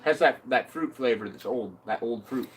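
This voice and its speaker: A man's voice: medium pitch, normal speed, far from the mic, a little hiss or hum. A man in casual chat among friends, musing and describing flavors at length. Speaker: friend tasting drinks.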